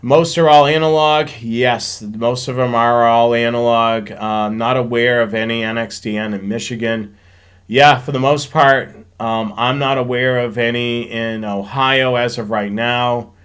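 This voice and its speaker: A man with a mid-pitched voice hosts a casual live stream. He talks informally, explaining technical points and thinking out loud as he answers questions. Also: steady tone, a little slow, smooth. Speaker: host of a railfanning live show.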